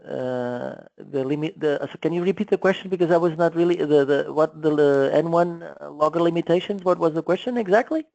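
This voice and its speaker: A man with a fairly high, creaky voice explains technical matters informally. He speaks haltingly, doubling back on words. The recording is dry and a bit muffled. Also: darkish tone, no echo. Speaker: guest presenter explaining a radio contest technique.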